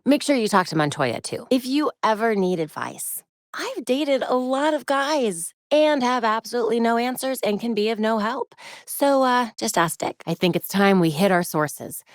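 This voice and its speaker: A woman's voice: fairly high pitch, lively intonation, normal speed, dry recording, clean studio sound, natural voice. A woman playing a young female superhero in a video game, witty, determined and brainy, with a wry conversational delivery. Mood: wry, playful.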